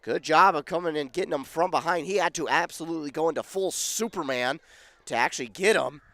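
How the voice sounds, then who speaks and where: Radio play-by-play man, slightly high voice, hoarse and scratchy, energetic on big plays. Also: a little fast, no echo. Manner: excited rises on big plays. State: losing his voice, scratchy. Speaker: play-by-play announcer.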